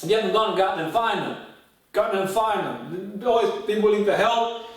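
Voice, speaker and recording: High-voiced male motivational speaker, very echoey room. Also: fairly quick. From Australia. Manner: direct address with repeated catchphrases and rhetorical questions. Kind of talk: motivational goal-setting seminar talk. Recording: very echoey room, distant mic.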